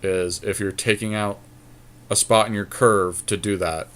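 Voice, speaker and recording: A man's voice: lowish voice, steady tone, unhurried, fairly bright tone. A man co-hosting a gaming podcast, talking casually but arguing his points in an analytical, matter-of-fact way. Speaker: podcast co-host. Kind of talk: casual gaming commentary with a friend.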